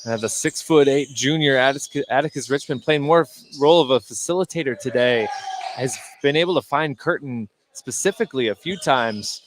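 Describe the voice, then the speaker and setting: A man giving unscripted color analysis at a basketball game, halting and repeating words as he thinks aloud. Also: mid-range voice. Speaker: color commentator. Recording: faint gym noise behind the voice. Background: indoor gymnasium ambience.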